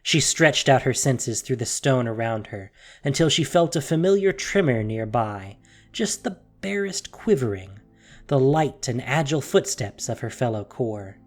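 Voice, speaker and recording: A narrator reading a dramatic audio story theatrically, with deliberate pauses and hushed emphasis, in a scripted style. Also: mid-range voice, lively intonation. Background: music.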